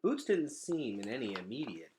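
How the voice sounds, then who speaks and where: A man reading a children's novel aloud as an expressive storyteller. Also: medium pitch, moderate pace, a little hiss or hum.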